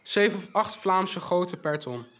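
An actor playing a bright, polite young orphan messenger boy who eagerly passes on news in an audio drama. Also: sits high, expressive, moderate pace. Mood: informative, keen. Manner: polite, informative, recites news in detail.

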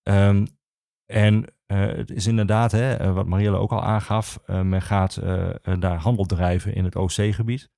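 A man explaining history as an interview guest, speaking haltingly with many filled pauses. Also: on the low side, fairly even intonation, gravelly, warm tone, no room sound, studio quality. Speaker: archivist, podcast guest.

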